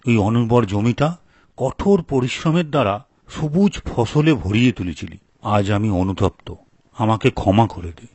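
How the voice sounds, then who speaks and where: A man playing a grudging, proud elder brother from a village in a dramatic, expressive folk-tale performance, with a husky voice. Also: fairly low pitch, unhurried, no echo, full character voice. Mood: remorseful.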